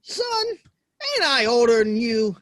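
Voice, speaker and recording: An earnest American cowboy in a Western audio play, performed by a man. His voice is high and bright and moves around a lot. He is folksy and a bit hesitant, shy but stubborn. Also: big pitch swings, slow, no room sound, clean studio sound, exaggerated voice. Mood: defiant. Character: earnest, plain-spoken cowboy, shy yet defiant.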